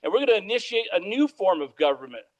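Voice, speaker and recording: Guy doing a scheming aristocrat, quick and casual, high-ish voice. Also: sing-song, dull audio. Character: scheming aristocratic man plotting with a rival army.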